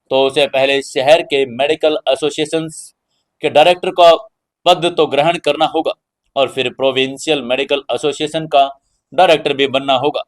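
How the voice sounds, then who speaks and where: Male audio story narrator and host, moderately high pitch, dramatic delivery.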